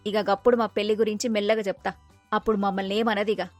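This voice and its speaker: A woman's voice: mid-range voice, little pitch movement, rapid-fire, darkish tone, dry recording, faint music behind, natural voice. A woman with a Telangana accent playing a scheming young daughter-in-law plotting to herself.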